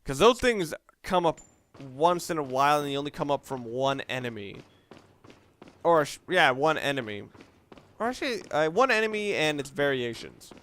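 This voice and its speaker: A man's voice: fairly high pitch, big pitch swings, moderate pace, a bit bright. A man giving casual, rambling video game commentary, talking through his reasoning aloud as he plays. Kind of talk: casual let's play commentary.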